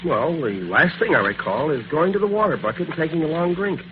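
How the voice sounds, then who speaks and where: A man's voice: a little high, expressive, brisk, natural voice. Man playing sidekick, easygoing, plain-talking, vintage radio acting, lo-fi noisy sound. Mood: puzzled, relieved. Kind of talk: old radio drama acting. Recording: muffled, noisy old radio recording.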